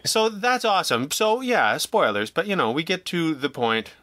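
A man talking casually as an interview guest, telling stories in an animated, jokey, self-deprecating way and acting out remarks he quotes. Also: a little high, moderate pace, no echo. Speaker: guest, audio drama writer and producer.

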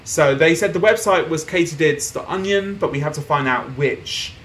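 Young male gaming streamer, casual playthrough chatter, slightly higher voice, faint background hiss. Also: moderate pace. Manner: chatty, reads on-screen posts aloud, laughs softly. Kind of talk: casual game playthrough commentary. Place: small room at home, indoors.

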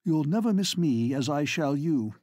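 A devoted, lovelorn gentleman speaks with passion and reproach, as a man reads him. His voice is dark and slightly husky. It is recorded close and dry. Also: a little high, lively intonation, normal speed, no room sound. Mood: passionate.

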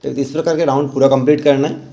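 An Indian man giving an informal step-by-step tutorial voice-over as an instructor, halting and repeating himself as he explains. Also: mid-range voice, flat delivery, creaky, mellow. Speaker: rangoli art instructor.

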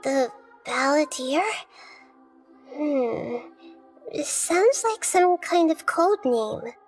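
Gentle kid goddess for a game, really high n slow, thoughtful, music under it. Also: female voice, full character voice. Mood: pondering, concerned. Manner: calm, thoughtful, measured. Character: gentle, wise, childlike little goddess girl.

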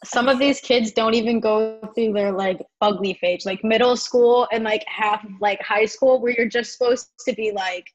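A woman's voice: on the high side, fairly even intonation, moderate pace, few pauses. Woman, mid-twenties, podcast co-host, chatty, earbud mic on a video call, slightly muffled sound.

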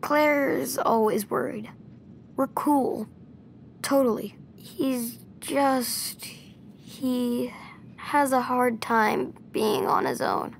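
A woman's voice: on the high side, very slow, vocal fry, slight background noise, full character voice. A woman voicing an earnest, imaginative young boy who plays at being a superhero, in a video game cutscene.

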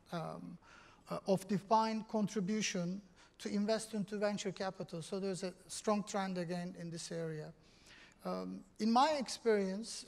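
A man with a Turkish accent answering as a panelist on a conference stage, measured and full of ums. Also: high-pitched, gravelly. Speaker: panelist, investment executive. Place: large conference hall stage.

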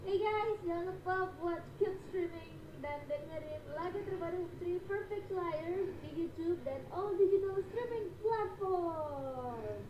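A woman's voice: extremely high pitch, a little slow, few pauses, echoey room, dull audio, background noise. A woman filming a vlog outdoors in the snow, chatting to viewers as guys and counting aloud. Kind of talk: casual vlog narration.

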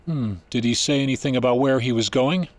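An actor playing an evasive scientist-doctor in an audio drama, speaking in a measured, formal, clinical way. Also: male voice, mid-range voice, lightly acted. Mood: polite, guarded. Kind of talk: dramatic audio fiction acting.

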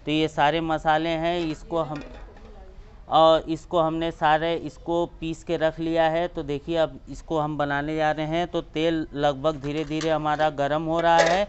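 A man's voice: a little high, little pitch movement, unhurried, clean tone, lo-fi sound. A man hosting a cooking channel, walking through each step of a recipe outdoors beside a clay stove. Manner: explains each step, points things out.

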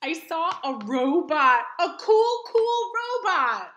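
A woman voicing an emotional, excitable male elephant in a children's picture book, with dramatic exclamations. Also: sits high, takes it slowly, heavily acted. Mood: dramatic, upset. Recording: roomy echo.

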